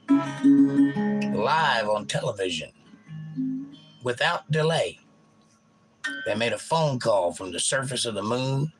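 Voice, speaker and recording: A hoarse man in his sixties hosting a casual livestream, rambling and reading out viewer comments. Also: on the low side, low-quality recording. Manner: rambling, folksy storytelling. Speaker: livestream host. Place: home livestream with guitar. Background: loud guitar playing.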